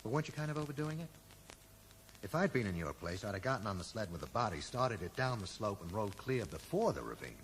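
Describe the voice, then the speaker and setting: An actor playing a dry, sarcastic amateur sleuth who probes and accuses in a 1980s radio drama. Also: male voice, fairly low pitch, big pitch swings, fast talker. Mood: accusing, resolute. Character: sardonic, probing male amateur sleuth confronting an old friend. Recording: echoey, noisy old radio broadcast recording.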